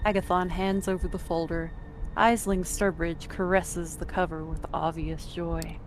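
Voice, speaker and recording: A woman's voice: lowish voice, level tone, a little slow, over music, low-quality recording. A woman narrating a visual novel as a scripted story.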